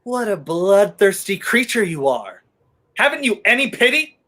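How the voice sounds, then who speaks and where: A man playing a sardonic, slow-talking radio hero. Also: high-pitched, very animated, pushed and bright, full character voice. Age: young adult. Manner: sardonic, theatrical. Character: wry, weary man on the run, bickering romantic hero. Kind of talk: 1930s radio play acting.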